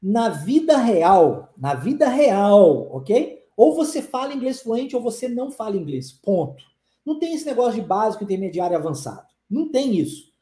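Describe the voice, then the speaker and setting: A man in his forties with a soft, mellow voice teaches a live online class. He talks emphatically, pushing his argument and repeating rhetorical questions to the viewers. The room around him rings with a noticeable echo. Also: high voice, big pitch swings, moderate pace. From born in Brazil, raised in the United States. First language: English. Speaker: English teacher.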